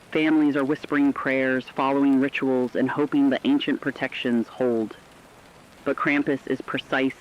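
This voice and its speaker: A man playing a solemn radio reporter, reading scripted horror bulletins in a measured, foreboding way. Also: mid-range voice, slight background noise, lightly acted. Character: solemn radio field reporter warning of terror. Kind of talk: scripted dramatic radio news narration. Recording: dull lo-fi radio sound, rain behind.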